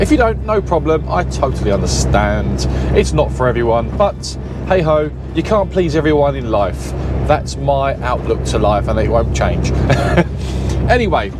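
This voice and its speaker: A man with a Southern English accent, a lorry driver chatting casually and rambling a little as he drives, often correcting himself mid-sentence. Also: medium pitch. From Southern England. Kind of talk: casual vlog chat while driving. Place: lorry cab on the road. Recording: loud engine and road noise. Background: lorry engine and road noise.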